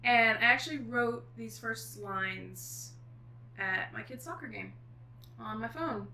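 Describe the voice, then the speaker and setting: Bright, high-voiced woman in an echoey room. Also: slow pace. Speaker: guest author. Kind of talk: casual chat. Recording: echoey room with faint noise.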